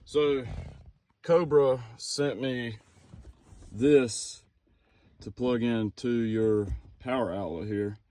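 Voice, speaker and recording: A man's voice: medium pitch, extremely slow, long pauses, warm tone. A man chatting casually through a hands-on how-to vlog in a folksy, easygoing way, thinking aloud as he works. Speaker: vlogger doing a DIY car project. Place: outdoors at a vehicle, some wind. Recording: noisy outdoor recording with ambience.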